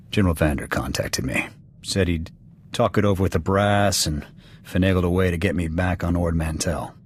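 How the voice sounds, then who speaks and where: An actor playing a gruff, proud alien military officer in a video game, with an American accent and a blunt, clipped military delivery. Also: male voice, low-pitched, slight background noise, natural voice. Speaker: soldier serving as second to his commander. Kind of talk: video game dialogue acting. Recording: background music under the dialogue.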